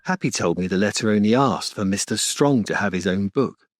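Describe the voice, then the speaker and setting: A man's voice: on the low side, brisk, dry recording, studio quality, lightly acted. A man playing a guarded storybook character giving careful testimony in an audio drama.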